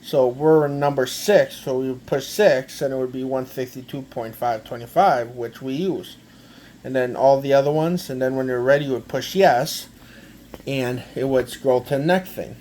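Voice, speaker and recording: Halting man, noisy home recording. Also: medium pitch, darkish tone. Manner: halting, repetitive, step-by-step explaining. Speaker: amateur gadget demonstrator. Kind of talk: casual product walkthrough.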